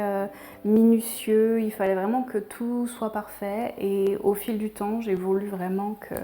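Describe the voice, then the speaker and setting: A woman with an accent from France speaks reflectively about herself in a documentary interview, often halting mid-phrase. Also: medium pitch, warm tone. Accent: French from France. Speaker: painter, interviewee. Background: background music.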